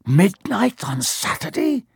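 A man's voice: on the high side, lively intonation, normal speed, a little mellow, husky, no room sound, studio quality. A man voicing an agitated, anxious schoolboy in a storybook reading. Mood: panicked. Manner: anxious, fretful.

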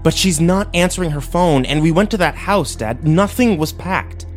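A man's voice: medium pitch, normal speed, mellow, lightly acted. A man voicing an urgent, pleading, hot-headed teenage boy who is distraught, in a dramatic story. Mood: pleading disbelief. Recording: music behind.

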